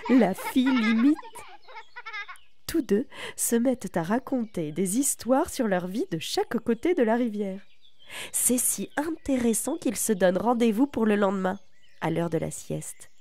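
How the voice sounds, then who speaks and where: A woman reads a children's tale aloud at an unhurried pace. Her voice has a gravelly, creaky edge, and she tells the story in a calm, deliberate manner. The sound is close and dry. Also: fairly high pitch, no room sound. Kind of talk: scripted narration of a children's tale.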